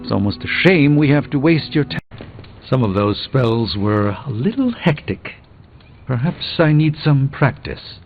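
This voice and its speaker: An actor playing a proud, learned middle-aged sorcerer superhero in a video game, with formal, pompous and erudite phrasing. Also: male voice, mid-range voice, very animated, measured pace, phone-quality sound, soft background music. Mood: measured, assured.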